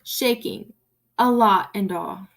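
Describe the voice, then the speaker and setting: A woman's voice: mid-range voice, takes it slowly, vocal fry, a bit bright, full character voice. A teenage girl acting a nervous, eager, naive rookie police officer in a student radio play. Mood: flustered. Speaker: student actor.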